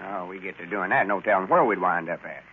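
An actor playing a self-important, grumbling older country storekeeper with a Southern accent and a folksy drawl in a radio comedy. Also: male voice, low-pitched, fast talker, close to an everyday voice. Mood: peeved. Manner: pompous, folksy rustic drawl. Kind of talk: 1930s-40s radio comedy acting. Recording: tinny, hissy old radio recording.

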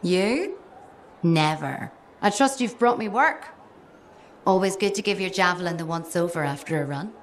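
A woman's voice: on the low side, normal speed, close to an everyday voice. Dry, sardonic woman mechanic played by an actress. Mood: dry, brisk. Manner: deadpan, teasing, businesslike. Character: dry, brisk, sardonic female suit mechanic. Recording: game audio with ambient background noise.

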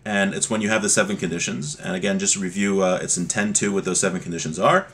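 A middle-aged man giving an informal religious lesson, explaining with examples in a conversational, somewhat halting way. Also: sits low, steady tone, a bit bright. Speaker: religious teacher. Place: small home room, indoors. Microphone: webcam or laptop mic at desk distance.

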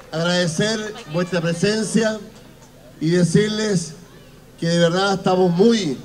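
A man gives a formal speech from a festival stage, each phrase slow and deliberate. His voice is fairly high and carries over the PA with a faint outdoor hum behind it. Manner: slow, measured, halting speech. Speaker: official speaking at a ceremony.

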